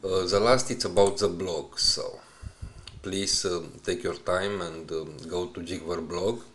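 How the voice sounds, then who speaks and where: A man who is a non-native English speaker, talking haltingly and searching for words as he narrates an unscripted website walkthrough. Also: sits low, steady tone, a bit bright. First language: not English. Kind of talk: unscripted screen-recorded walkthrough.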